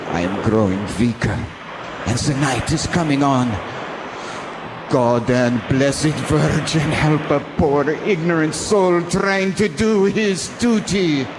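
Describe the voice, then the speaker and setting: An actor playing a weary, dutiful sea captain narrating his log gravely in a radio drama. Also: mid-range voice, natural voice. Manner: grave, measured, halting delivery. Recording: noisy amateur local radio recording.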